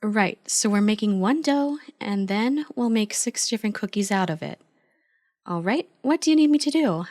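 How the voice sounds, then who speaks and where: A woman's voice: mid-range voice, lively intonation, moderate pace, a little mellow, no room sound, studio quality, natural voice. A woman playing an affectionate, playful girlfriend in an ASMR roleplay, chatty, teasing and supportive as an eager but clumsy baker. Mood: cheerful, eager.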